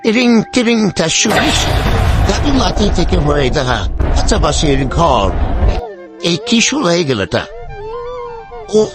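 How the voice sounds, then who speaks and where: A man's voice: high voice, sing-song, a little slow, long pauses, over music. A man voicing a henpecked, lazy older fox husband in a cartoon, wheedling and full of exclamations. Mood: excited, pleased. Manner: wheedling, exclamatory, colloquial village talk. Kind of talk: cartoon story dialogue.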